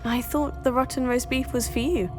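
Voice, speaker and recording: Woman voicing a polite, higher-voiced schoolgirl. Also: fairly even intonation, normal speed, over music, natural voice. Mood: inquisitive. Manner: polite, inquisitive. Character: polite, curious teenage witch student. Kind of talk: video game character acting.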